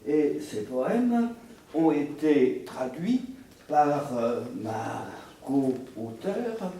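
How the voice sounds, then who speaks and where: A man speaking deliberately and haltingly as a panelist at a round table on literary translation. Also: on the high side, big pitch swings, dark tone. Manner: deliberate, halting, pausing mid-sentence. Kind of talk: spontaneous round-table talk. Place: reverberant room at a round-table event. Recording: echoey room, distant mic, faint noise.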